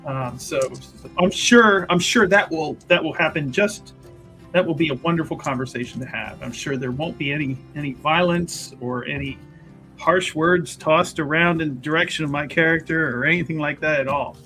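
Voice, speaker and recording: Man, bright voice, slightly high, dry joking, halting, casual gamer chat. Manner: tongue-in-cheek, halting. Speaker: tabletop game player. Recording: music behind the voice. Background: music.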